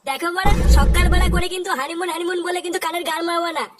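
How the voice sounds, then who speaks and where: An actor voicing a blustering, foul-mouthed cartoon husband with an artificially pitched-up voice, full of indignation. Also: fairly even intonation, very fast, over loud music. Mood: grumpy. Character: hot-tempered, foul-mouthed drunkard husband and club boss. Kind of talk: comic cartoon dubbing. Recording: very noisy track.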